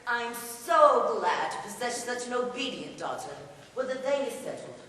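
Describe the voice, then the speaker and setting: A woman playing a cranky, bossy old hypochondriac father in an amateur stage comedy, loudly declaiming, recorded from far off in an echoing hall. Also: a little high, big pitch swings, moderate pace. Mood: pleased, pompous. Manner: loud theatrical scolding and declaiming. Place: large echoing room at a staged play. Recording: echoey hall from far off, background noise.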